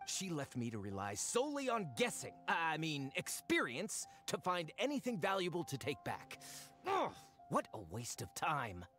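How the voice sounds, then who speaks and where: An actor playing a greedy, self-important fake archaeologist in an anime game, pompous, hedging and excitable. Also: male voice, fairly high pitch, moderate pace, soft background music. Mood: scornful. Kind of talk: anime video game voice acting.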